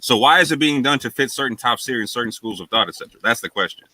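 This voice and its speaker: A man debating forcefully on a live call, repeating himself to hold the floor. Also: medium pitch, lively intonation, fast talker, no echo, poor audio. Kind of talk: heated debate.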